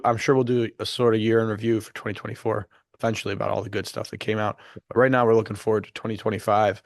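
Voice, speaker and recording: Young male co-host, low voice, slightly hoarse, dry studio sound, eager chatty delivery. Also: dry recording. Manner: chatty, enthusiastic, many fillers. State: losing his voice after illness. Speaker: podcast co-host. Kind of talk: casual chat.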